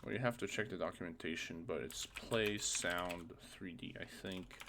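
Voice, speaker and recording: Low gravelly man giving casual tutorial. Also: moderate pace. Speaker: game modding tutorial presenter. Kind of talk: casual screen-recorded tutorial, thinking aloud. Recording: faint noise, keyboard clicks.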